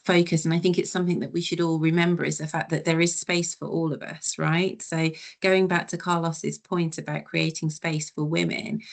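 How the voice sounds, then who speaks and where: Woman hosting a webinar panel, deep for a woman, lots of vocal fry, chatty and affirming. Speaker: webinar moderator. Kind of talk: conversational panel moderation.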